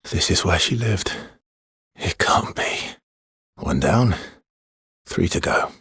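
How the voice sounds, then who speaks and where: Male game hero: weary, guarded expeditioner with quiet regret. Very raspy, low voice, understated and brooding. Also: animated, slow pace, no echo, clean studio sound, exaggerated voice. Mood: guarded, matter-of-fact. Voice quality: husky, breathy. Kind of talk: video game voice lines, dramatic acting.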